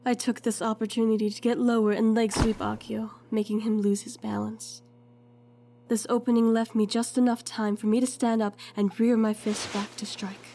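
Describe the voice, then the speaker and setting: Girl acting young heroine telling her story, soft mellow tone, earnest, unsure, slight hum behind. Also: a little high, flat delivery, moderate pace, lightly acted. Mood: tense, earnest. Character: hesitant young gifted woman, apologetic, narrating her own fight. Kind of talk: first-person story narration and audio drama acting.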